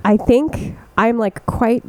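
A woman's voice: a little high, slow, dark tone, no echo. A woman co-hosting a podcast in casual chat, congested and a little nasal, laughing often and filling her talk with "like". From US East Coast. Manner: chatty, laughs often, lots of "like". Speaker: podcast co-host.

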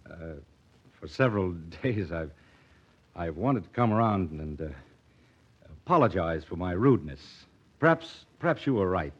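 A man's voice: deep voice, sing-song, normal speed, long pauses, breathy edge, full character voice. An actor playing an earnest, awkward young male doctor in a vintage radio drama, with a hesitant, formally polite delivery. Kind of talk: 1940s radio drama acting. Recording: dull, muffled vintage radio recording.

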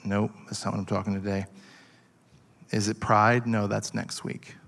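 A man in his forties preaching a passionate sermon, halting with fillers and restarts as he builds his point. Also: lowish voice, little pitch movement, vocal fry, a bit bright. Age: 40s. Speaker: pastor.

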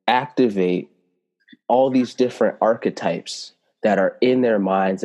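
A man whose first language is Italian, telling personal spiritual stories in an earnest, reflective way, slowing down to stress key words. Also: lowish voice, warm tone, long reverb tail. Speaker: spiritual teacher and healer.

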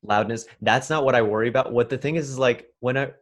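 A man's voice: medium pitch, fast. Chatty young man telling stories. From New York City. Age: 20s. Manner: chatty, rambling, hedging with many fillers. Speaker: stand-up comedian guest. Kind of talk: casual conversational interview.

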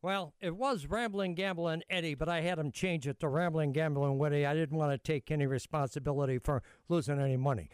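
Man, slightly high clear voice, casual radio co-host, introducing songs. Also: fairly quick, hardly any pauses.

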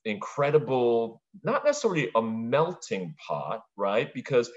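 A man's voice: mid-range voice, very animated, measured pace, highs cut off. A middle-aged man from Brooklyn, a podcast interview guest, talking reflectively in long, winding sentences. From Brooklyn, New York.

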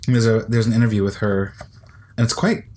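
A man co-hosting a podcast, talking casually and haltingly, with many restarts. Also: fairly low pitch, a little hiss or hum. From United States. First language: English, second language Icelandic. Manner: relaxed, halting, frequent restarts. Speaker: podcast co-host. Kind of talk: casual conversation.

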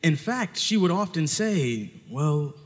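A male storyteller performs live on stage with a theatrical flair. His voice sits high and moves widely, leaning hard on key words. The long reverb of a hall surrounds him. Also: sing-song, slow. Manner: theatrical, dramatic delivery with pointed emphasis.

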